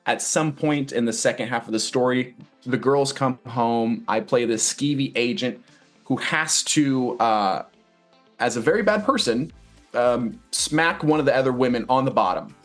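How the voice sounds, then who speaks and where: A man's voice: mid-range voice, moderate pace, soft background music. A man, a stage actor being interviewed, chatting casually in a joking, rambling storyteller's way. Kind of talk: casual promotional interview chat.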